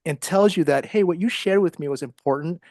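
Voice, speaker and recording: A middle-aged man with an American accent, speaking conversationally as an interview guest, with halting, um-filled phrasing. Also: on the high side, a little mellow, no room sound, studio quality. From Ohio, United States. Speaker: interview guest, psychologist and author.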